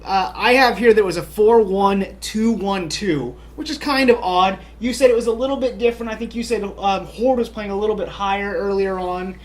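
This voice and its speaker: A man's voice: high-pitched, moderate pace, few pauses. A man co-hosting a casual soccer talk show, upbeat and chatty with lots of fillers. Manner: upbeat, chatty, many "you know" fillers. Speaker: podcast co-host. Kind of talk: casual sports chat.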